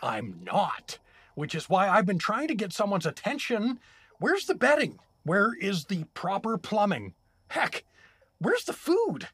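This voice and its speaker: A man's voice: high-pitched, wildly swooping pitch, a little slow, a bit bright, slightly rough, dry recording, full character voice. An actor playing an indignant, sarcastic everyman from another world who is imprisoned in a comedic fantasy audio drama. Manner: exasperated, complaining, wisecracking.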